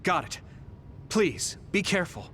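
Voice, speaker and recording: A man's voice: high voice, very animated, measured pace, bright, forceful tone, a little hiss or hum, exaggerated voice. An actor playing an earnest, bewildered young male soldier hero in a video game, polite and searching. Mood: apologetic, earnest. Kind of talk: scripted video game cutscene acting.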